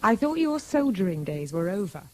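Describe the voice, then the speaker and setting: An actress playing a relaxed Englishwoman in a radio drama, drily and playfully teasing. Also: mid-range voice, moderate pace, slight background noise, lightly acted. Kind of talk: British radio drama acting.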